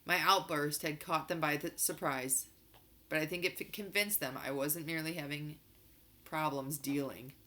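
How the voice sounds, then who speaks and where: A woman narrates a children's novel in an expressive read-aloud style. Her voice is bright and forceful, sitting a little low for a woman. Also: normal speed.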